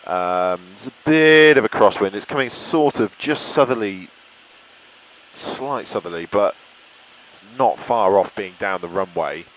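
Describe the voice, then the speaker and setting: A man's voice: fairly low pitch, a little slow, highs cut off. A man flying a light aircraft, giving a casual running commentary to himself. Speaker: student pilot vlogging in flight. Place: small light aircraft cockpit in flight. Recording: steady light-aircraft engine noise. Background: aircraft engine noise.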